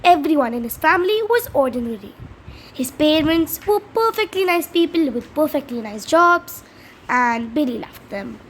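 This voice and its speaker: High-pitched woman reading a storybook, noisy recording. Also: expressive, moderate pace. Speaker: storybook read-aloud channel host. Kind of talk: reading a children's storybook aloud.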